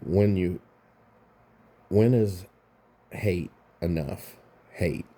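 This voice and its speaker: A man's voice: low-pitched, extremely slow, warm tone. A man hosting a casual commentary vlog, sounding congested and rambling, often restarting his phrases. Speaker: YouTube commentary host.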